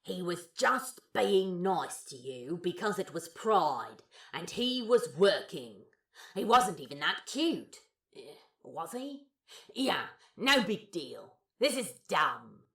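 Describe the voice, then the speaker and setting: Woman acting sardonic, sharp-voiced, foul-mouthed friend. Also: lowish voice, big pitch swings, slow pace, creaky, husky, no echo, clean studio sound, full character voice. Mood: doubting, dismissive. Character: sardonic, foul-mouthed modern friends, blunt and grudgingly affectionate. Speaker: character voice actor. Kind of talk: fan fiction audio drama dialogue.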